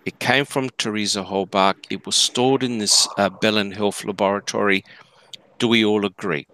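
A man answering questions in a live discussion, explaining deliberately and emphatically, point by point. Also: fairly low pitch, steady tone, pushed and bright, rough recording. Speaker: panelist answering listener questions.

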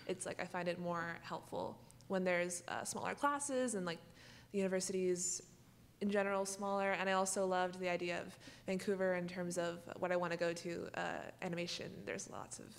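A woman's voice: on the low side, fairly even intonation, moderate pace. Young woman student, casual talk. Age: young adult. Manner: casual, uses "like" a lot. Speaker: student panelist. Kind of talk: panel answers. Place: university lecture hall.

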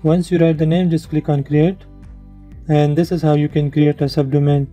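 Very dark-voiced man narrating a tutorial. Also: fairly high pitch, level tone, moderate pace. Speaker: tutorial presenter. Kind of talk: instructional screen-share narration. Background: background music.

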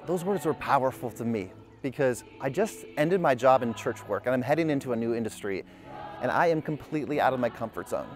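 A man's voice: mid-range voice, lively intonation, brisk. A man in his thirties hosting a family vlog, narrating in an upbeat, earnest way. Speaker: vlog host. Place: outdoors in a park. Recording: music bed behind voice.

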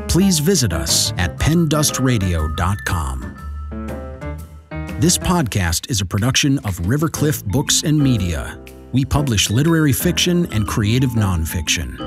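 A man announcing a podcast introduction in a scripted, measured, theatrical style. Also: fairly low pitch. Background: music.

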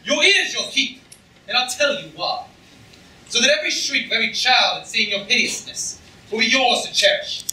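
A young man playing a vengeful, taunting hero in a theatrical staged reading, speaking in a deliberate, declamatory way. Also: very high-pitched, very animated, sharp tone, heavily acted. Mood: taunting, defiant. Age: young adult. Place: lecture-room stage with audience, filmed from seats. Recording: echoey distant hall recording with noise.

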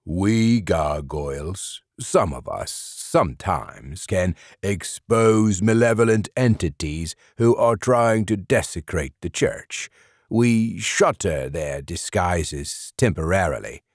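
A man's voice: sits low, sing-song, slow, no echo, studio quality, exaggerated voice. A man doing a grumpy old stone gargoyle. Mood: boastful. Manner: gruff, dismissive, boastful.

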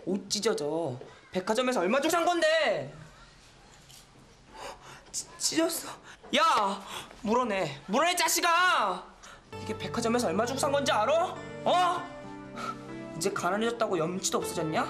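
A woman playing a brash, snobbish schoolchild in a children's TV drama, taunting and loud-mouthed. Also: fairly high pitch, big pitch swings, normal speed, long pauses. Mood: indignant. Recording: music behind.

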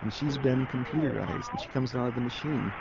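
A man's voice: mid-range voice, a little fast, gravelly. A man chatting as an interviewee in a wry, colloquial way, in a muffled recording. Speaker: interviewee, writer. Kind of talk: casual interview talk. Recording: muffled, with crowd-like noise behind.

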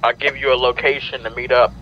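A man's voice: mid-range voice, flat delivery, fast, crowd noise. A man chatting casually in an online roleplay game, plain-spoken and directing the others. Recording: dull lo-fi headset audio, faint noise.

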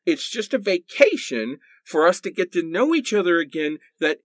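Bickering boyfriend, male actor, pleading and sarcastic, lively pitch swings, dry close sound. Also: moderate pace, no room sound. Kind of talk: comedic radio play acting.

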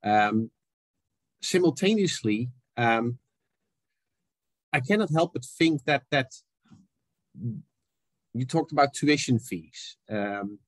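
A man's voice: mid-range voice, lively intonation, dry recording. A middle-aged man from the Netherlands with a Dutch accent, speaking directly in an online seminar. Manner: direct, measured, hesitant fillers. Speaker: seminar referent. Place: home room.

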